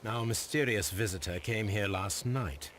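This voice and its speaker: Man acting a Victorian English detective, low voice, composed, analytical, video game drama, noisy ambient backdrop. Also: a bit bright, natural voice. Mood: focused, brisk. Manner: measured, precise, analytical. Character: keen, composed gentleman detective, male, Victorian London. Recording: clear background noise and ambience.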